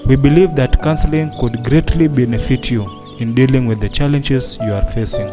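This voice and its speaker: A man playing a patient, concerned hospital doctor in a radio drama, speaking in a measured, reassuring way. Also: medium pitch, over music, natural voice.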